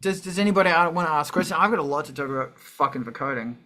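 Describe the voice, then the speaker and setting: A man's voice: a little high, racing, bright, forceful tone. A man hosting a casual livestream in a sweary, jokey, rambling manner. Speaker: show host.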